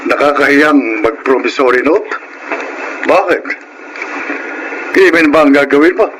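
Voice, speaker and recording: A man's voice: fairly high pitch, expressive, a little slow. An actor playing a proud, hardworking middle-aged farmer father in a Filipino radio drama, righteous and indignant. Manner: forceful, righteous, rhetorical questioning. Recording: faint background noise.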